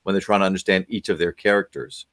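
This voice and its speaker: Low-voiced middle-aged man giving parenting advice. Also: fairly even intonation, fast, fairly bright tone. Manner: lecturing, asks many questions, frequent fillers. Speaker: parenting expert guest. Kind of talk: conversational advice over video call. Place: home office with bookshelves. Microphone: video call.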